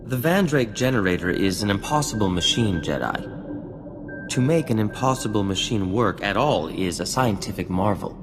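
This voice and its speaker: An actor playing a vain, refined scientist-inventor in a video game cutscene, speaking in a formal, theatrical and florid way. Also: male voice, fairly low pitch, lively intonation, moderate pace, lightly acted. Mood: anxious. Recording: long reverb, music underneath.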